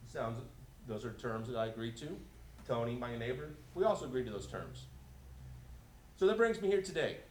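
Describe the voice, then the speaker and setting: A man speaking as a resident at a public meeting, explaining informally and deliberately, step by step. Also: medium pitch. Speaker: resident giving public comment. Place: indoor council meeting room. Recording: echoey room, steady background noise.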